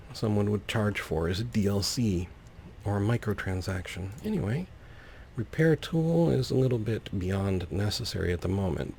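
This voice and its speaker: A man's voice: on the low side, creaky, mellow, slight background noise. A man giving casual gameplay commentary, thinking aloud in a whimsical, musing way. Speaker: let's player, host.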